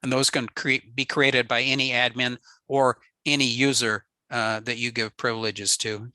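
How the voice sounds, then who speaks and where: A man giving a relaxed technical slide talk on a radio network, explaining with frequent ums and uhs. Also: medium pitch, moderate pace, no room sound. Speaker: ham radio presenter.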